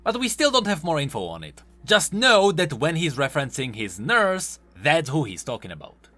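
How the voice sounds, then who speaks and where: Very animated, bright-voiced man narrating. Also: on the high side, normal speed, no echo. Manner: emphatic, swooping delivery. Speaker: host of a game lore video channel. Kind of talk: scripted commentary narration. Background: background music.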